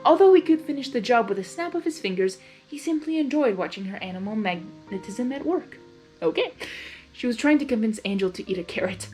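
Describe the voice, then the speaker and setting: A woman's voice: on the high side, lively intonation, brisk, a little mellow. A woman reading a story aloud expressively, with casual, informal asides in a giggly manner. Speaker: fan fiction reader. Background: music.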